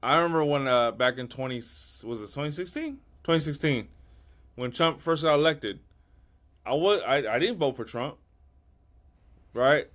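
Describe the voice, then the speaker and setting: A man telling personal stories as a podcast guest, animated and repeating key lines. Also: a little high, normal speed, pauses often. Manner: animated storyteller, repeats himself. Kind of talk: casual storytelling.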